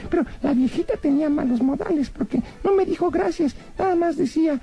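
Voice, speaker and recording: A man's voice: very high voice, fairly quick, gravelly, dark tone, pitched above the performer's own voice. A man voicing a cheeky, wisecracking male rabbit puppet who takes everything literally. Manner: literal-minded, jokey, playful.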